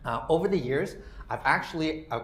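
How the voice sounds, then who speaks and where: A man's voice: on the high side, expressive, brisk. Man in his forties, lecture presenter, casual filler-laden delivery, roomy hall sound. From Canada. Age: 40s. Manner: loose, full of "you know" and "uh" fillers. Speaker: guest speaker. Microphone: microphone.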